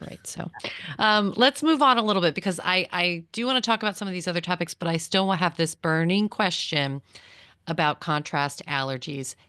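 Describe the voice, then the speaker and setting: A woman's voice: on the low side, a bit bright, smooth, dry recording, clean studio sound. A woman hosting a podcast, interviewing her guest in a conversational style. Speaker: podcast host.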